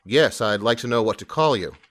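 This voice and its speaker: A man playing a calm, patient police sergeant in an audio drama, heard through a telephone filter. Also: medium pitch, a little fast. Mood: calm, puzzled. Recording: noisy telephone line.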